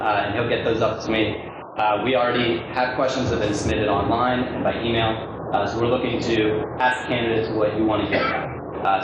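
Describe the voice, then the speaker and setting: A man opening a candidate forum as its moderator, polite and halting with frequent fillers. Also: mid-range voice. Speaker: forum moderator and group president. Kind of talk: welcoming remarks and moderating. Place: fire company hall at a forum. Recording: muffled, very echoey, noisy hall recording.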